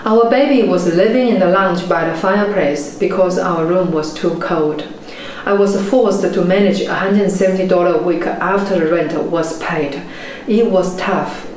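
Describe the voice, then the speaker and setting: A woman in her forties, a native Chinese speaker with a Chinese accent, giving a measured, earnest motivational talk as a property investment mentor. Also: on the low side, darkish tone. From China. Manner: measured, earnest storytelling delivery. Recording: very echoey room, faint background noise.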